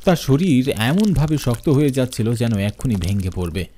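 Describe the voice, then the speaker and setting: A man narrating an animated horror story in a dramatic, suspenseful scripted style. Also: medium pitch, normal speed, slight background noise.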